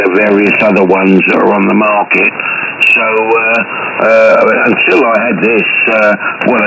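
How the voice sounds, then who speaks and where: A man chatting casually as an amateur radio operator over shortwave, talkative and blunt, with halting phrases. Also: on the low side. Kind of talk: casual ham radio chat. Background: heavy radio static.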